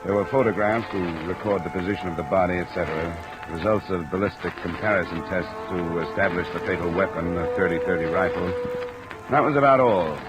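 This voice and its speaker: An actor playing a cool, probing insurance investigator in a 1950s radio drama, measured, dry and matter-of-fact. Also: male voice, low-pitched, loud music behind the voice, lightly acted, low-quality recording. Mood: dry, reflective. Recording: old radio recording under loud rain noise. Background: steady rain sounds.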